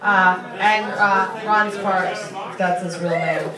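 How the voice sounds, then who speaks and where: A woman's voice: mid-range voice, fairly even intonation, slow pace. A brash, profane woman hosting a live comedy show off the cuff, ribbing people as she goes. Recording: echoey room with crowd, distant mic.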